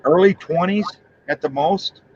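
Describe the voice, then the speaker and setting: A man's voice: fairly high pitch, unhurried, a little mellow, lo-fi sound. A man in his fifties hosting a livestream, chatting loosely and joking with viewers. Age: 50s. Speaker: livestream host. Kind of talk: casual chat with viewers.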